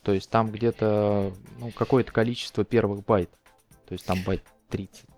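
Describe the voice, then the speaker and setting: A man's voice: lowish voice, fairly even intonation, normal speed, a little mellow, faint music behind. A man speaking casually as a panelist in a technical discussion.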